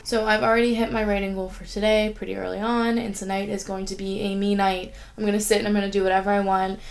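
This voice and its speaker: A woman's voice: mid-range voice, level tone, fast talker, vocal fry. Chatty young woman with a sniffly cold. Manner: chatty, rambling, frequent "like" fillers. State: sniffly with a sore throat. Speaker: writing vlogger. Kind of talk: casual vlog chat. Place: at home, indoors.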